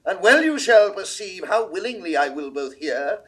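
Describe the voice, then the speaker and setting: A man's voice: high-pitched, expressive, moderate pace, fairly bright tone, slightly rough, exaggerated voice. A man acting a proud, uneasy English king in a classical Shakespeare audio drama, with stately, declamatory, theatrical delivery. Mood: regal, measured.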